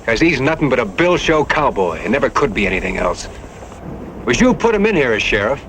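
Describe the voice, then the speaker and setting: An actor playing a hot-tempered, defiant American ranch owner, blunt and scornful, in a Western radio drama. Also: male voice, medium pitch, very animated, brisk, fairly bright tone. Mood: angry scorn. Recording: faint noise, old radio drama recording.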